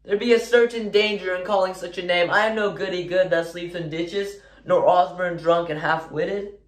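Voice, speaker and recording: Stage drama, male actor as anguished wife, accusing and urgent, raised voice pitch, roomy echo. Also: fairly even intonation, moderate pace, natural voice. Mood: accusing, pleading. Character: anguished, reserved farmer's wife bursting with accusation. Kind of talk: stage monologue acting. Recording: echoey room.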